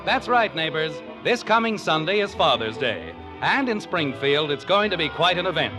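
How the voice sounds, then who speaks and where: A man's voice: on the high side, very animated, moderate pace, low-quality recording. A man playing a genial, whimsical 1950s radio announcer, with theatrical, neighborly delivery on an old broadcast. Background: music.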